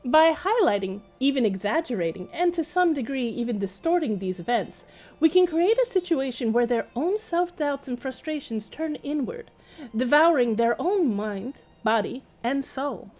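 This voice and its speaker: High-pitched woman, wry game streamer. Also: lively intonation, moderate pace, darkish tone. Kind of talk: casual game-stream commentary and reading in-game text aloud.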